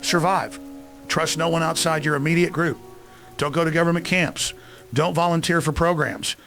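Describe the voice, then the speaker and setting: A man's voice: a little high, fairly even intonation, normal speed, vocal fry, a bit bright, faint music behind. A man playing a paranoid, defiant survivalist in an apocalyptic audio drama, terse, emphatic and insistent. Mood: urgent, defiant. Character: paranoid, defiant male survivalist distrusting all authority.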